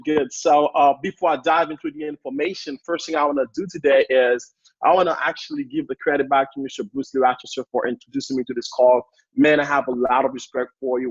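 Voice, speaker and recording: A man in his twenties with a Haitian accent, giving an energetic motivational talk as a guest speaker on a sales training call. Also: mid-range voice, husky, no room sound, muffled recording. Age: 20s. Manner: hyped up, energetic, repetitive.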